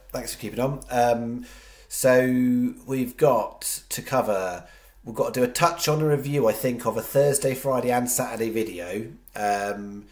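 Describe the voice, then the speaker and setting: A man with a head cold hosting a horse racing tips show, talking casually and rambling with many restarts. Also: lowish voice. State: congested with a cold. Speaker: horse racing tipster host. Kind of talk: casual chat to camera. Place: home studio.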